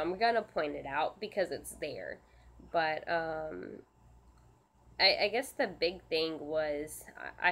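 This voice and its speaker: A woman with a stuffed-up nose and a scratchy throat from a sinus infection, hosting a casual live question-and-answer stream, speaking in a halting, earnest way with frequent restarts and ums. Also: sits low, fairly bright tone. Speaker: service dog handler and channel host.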